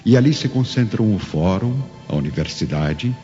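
Male narrator, radio drama, very low mellow voice, grave theatrical delivery, muffled reverberant recording. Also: animated, moderate pace. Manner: solemn, theatrical. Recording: long reverb, dull audio, music behind.

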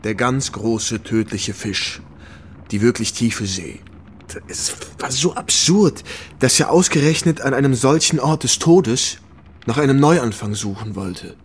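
A weary, reflective war reporter. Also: male voice, mid-range voice, animated, unhurried, breathy edge. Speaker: actor in a radio drama. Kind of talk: German radio drama dialogue, reminiscing.

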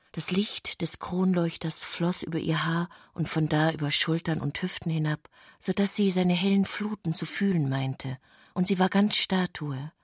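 A woman reading literary narration for a radio play, composed and deliberate in her phrasing. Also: lowish voice, darkish tone, slightly rough, no echo, studio quality.

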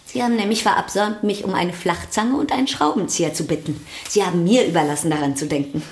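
An actress playing a violinist's brisk, protective sister in a radio play, with pointed, formal questioning. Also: female voice, lowish voice, roomy sound, close to an everyday voice. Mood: dry, reproachful.

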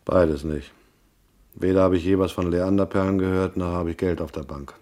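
Low-voiced, laconic hard-boiled detective played by a man. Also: steady tone, moderate pace, mellow, close to an everyday voice. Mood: skeptical, dry. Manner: laconic, dry, sardonic. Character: wry, skeptical male private detective telling his case. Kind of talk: radio drama narration and dialogue, hard-boiled crime.

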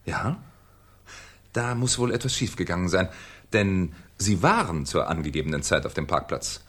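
An actor playing a shrewd, ironic French police commissioner in a 1970s German radio crime drama, with a theatrical, needling manner. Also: male voice, deep voice, lively intonation, moderate pace, fairly bright tone. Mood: sardonic, needling.

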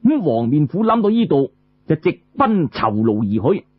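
Male Cantonese storyteller, very raspy voice, swooping lively pitch, dramatic scripted narration, dull lo-fi radio recording. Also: moderate pace. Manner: dramatic, addresses listeners with rhetorical questions.

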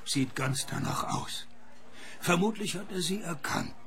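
An actor playing a wisecracking, hard-up private detective in a radio play, with dry deadpan delivery. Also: male voice, fairly high pitch, a little slow, gravelly. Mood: sardonic, dry. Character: wisecracking, cash-strapped male private detective. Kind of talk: radio play dialogue and first-person noir narration.

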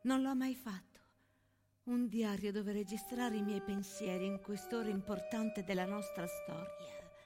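A woman playing a genteel married lady confiding uneasily to her diary in an intimate, measured stage monologue over music. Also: mid-range voice, fairly even intonation, voice pushed down, natural voice. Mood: earnest, hesitant.

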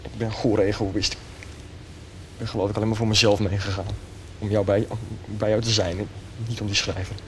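A man acting an anguished, hot-headed young man in love, in a radio soap, speaking haltingly and emotionally. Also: on the low side, little pitch movement, slight background noise, close to an everyday voice, rough recording. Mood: hurt, confessional.

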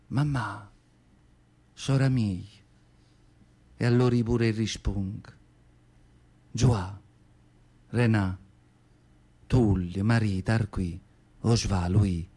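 A man's voice: lowish voice, dark tone. An actor playing a nearly hundred-year-old, plainspoken, feisty peasant mother in a theatrical radio monologue, with a southern Italian accent and folksy, emphatic storytelling. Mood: wistful, subdued.

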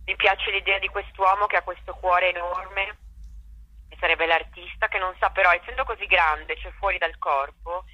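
A woman theatre director and actress in a relaxed interview, reflective and searching for words, with frequent restarts. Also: on the low side, normal speed, husky, no echo, highs cut off. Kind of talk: conversational interview.

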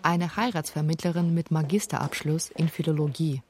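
A woman with a fairly low voice narrates a radio feature. She reads scripted, literary documentary text as its author. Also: moderate pace, a little mellow, husky. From former Soviet Union.

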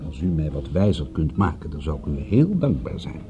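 An actor in a Dutch radio play voicing a hard-up private detective who is direct, dry and sardonic. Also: male voice, very deep voice, big pitch swings, fairly quick. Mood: measured, probing. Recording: muffled old radio recording, faint music.

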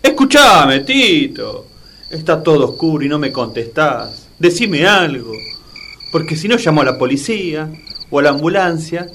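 An actor playing a worried, gullible, easily scared friend in a comic audio drama, pleading and exclaiming with an Argentine Rioplatense accent. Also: male voice, a little high, very animated, moderate pace, a bit bright, slight background noise. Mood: worried, calling out.

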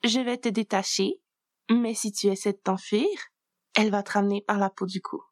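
A woman voicing a powerful, intimidating coven mistress, speaking slowly and deliberately. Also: mid-range voice, steady tone, no echo, clean studio sound, close to an everyday voice. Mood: commanding.

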